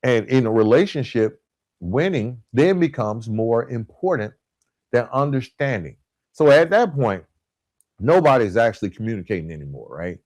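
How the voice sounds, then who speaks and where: A man's voice: mid-range voice, expressive, moderate pace, darkish tone, no echo. A man hosting a podcast, giving a motivational coaching monologue, repeating key points for emphasis and often checking in with his listeners. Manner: emphatic repetition, checks in with "right?" and "guys". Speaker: podcast host.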